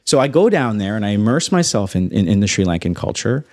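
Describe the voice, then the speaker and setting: A young man from Toronto with a North American accent, a guest on a casual podcast, telling his story in an animated, self-assured, emphatic way. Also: on the low side, fast talker. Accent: North American English. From Toronto, Canada. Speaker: guest, space company founder.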